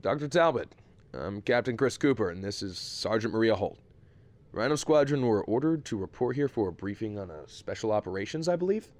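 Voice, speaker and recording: A man's voice: fairly low pitch, lively intonation, fairly quick, a little mellow, natural voice. A man playing a frank, dutiful Marine captain in an audio drama, speaking in a direct military manner. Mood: businesslike.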